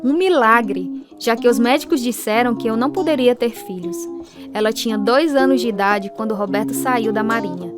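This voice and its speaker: An actress playing a devout, thankful pastor's wife looking back on her life in measured radio drama narration. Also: fairly high pitch, music in the background, close to an everyday voice. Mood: thankful, reflective. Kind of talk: scripted first-person radio drama narration.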